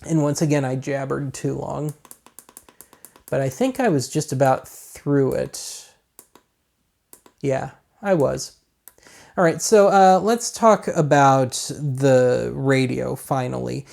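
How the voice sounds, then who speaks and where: A man's voice: mid-range voice, slow pace, vocal fry, long pauses, darkish tone. An American man hosting a casual gadget walkthrough in a rambling, colloquial, self-deprecating way. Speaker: host of a gadget review show.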